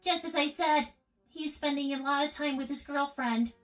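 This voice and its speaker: A woman's voice: sits high, fairly even intonation, normal speed, a bit bright. A woman voicing a young robot girl in a livestream roleplay, solemn, withdrawn and formal in her speech. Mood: resigned. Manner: formal, precise, subdued. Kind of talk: improvised in-character livestream roleplay.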